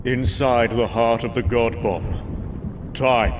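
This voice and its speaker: A man playing a grave storyteller, narrating an epic tale in a measured, portentous way. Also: mid-range voice, steady tone, noisy background, lightly acted. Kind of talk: solemn dramatic scripted narration. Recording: loud music under the voice.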